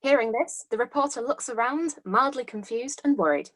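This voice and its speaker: A woman's voice: on the high side, normal speed. A woman narrating the stage directions of a screenplay in a scripted read-through.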